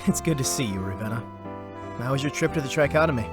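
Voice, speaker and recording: A man's voice: medium pitch, expressive, fast talker, music in the background, natural voice. A man playing a wry, exasperated young merchant heir and older brother in an audio drama, given to mock formality. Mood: warm.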